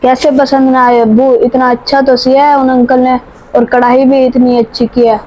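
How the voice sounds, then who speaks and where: An earnest, principled youngster played by a woman in a moral drama, reproachful in manner. The voice is fairly high, in a slightly dull recording with faint noise. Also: little pitch movement, normal speed, a little mellow, close to an everyday voice. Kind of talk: moral story drama acting.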